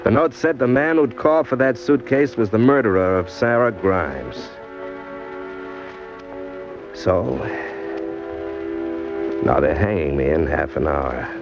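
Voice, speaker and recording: Condemned crook, American, deep voice, wry hard-boiled narration, resigned, vintage radio drama, music behind. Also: male voice, full character voice. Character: condemned small-time crook, bitter, wry, resigned, telling his story. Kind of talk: 1940s radio crime drama narration and acting. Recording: dull old radio recording, loud music behind.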